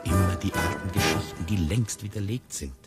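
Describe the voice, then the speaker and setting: An actor playing a controlling, argumentative circus tiger tamer in a radio drama, stern and admonishing. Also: male voice, low voice, normal speed, over loud music, close to an everyday voice. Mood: uneasy reasoning.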